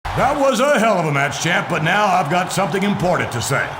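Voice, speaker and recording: A forceful older wrestling boss declaims in a high voice, like a showman in a game cutscene. The sound is echoey, with clear noise behind it. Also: very animated, brisk. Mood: commanding. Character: bombastic older male wrestling company boss.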